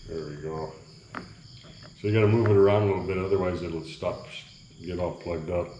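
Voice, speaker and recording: A man's voice: low voice, fairly even intonation, normal speed, muffled recording. A middle-aged man chatting casually to viewers while he makes coffee in a small kitchen, rambling and easygoing. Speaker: vlogger. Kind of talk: casual cooking vlog chat. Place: small bare cement-walled kitchen. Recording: echoey room, faint background noise. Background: crickets at night.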